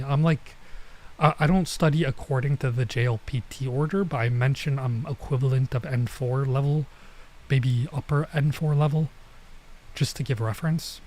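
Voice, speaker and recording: Mellow, informal male gaming streamer. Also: medium pitch, rough recording. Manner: informal, halting, mutters to himself while translating. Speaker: streamer playing a visual novel. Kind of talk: casual let's play commentary, reading dialogue aloud.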